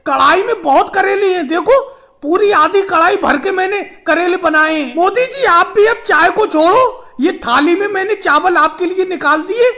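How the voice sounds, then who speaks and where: Very high-pitched insistent cartoon auntie acted by a woman. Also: moderate pace, fairly bright tone. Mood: bustling, hospitable. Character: chatty, homely elderly woman guest, caricature cartoon. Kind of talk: comic cartoon skit dialogue.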